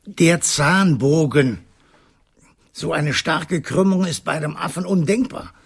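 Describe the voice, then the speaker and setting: An actor playing a gruff, self-assured veterinary dentist in a radio play, speaking dryly and deliberately with a gravelly, husky voice. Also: male voice, on the high side, full character voice. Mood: pondering, emphatic. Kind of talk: 1990s German radio play acting.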